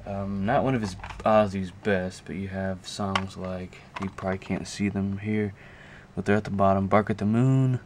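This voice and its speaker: Low, flat-voiced man in casual show-and-tell. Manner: halting, thinking aloud as he goes. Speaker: video creator showing a music collection. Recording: clear background noise, amateur camera audio.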